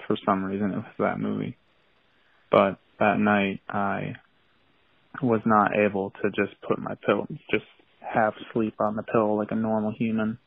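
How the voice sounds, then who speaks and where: A man caller leaving a personal confession on voicemail, hesitant and halting. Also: fairly low pitch, completely flat delivery, gravelly, slightly rough, no echo. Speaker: anonymous caller. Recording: tinny phone voicemail line.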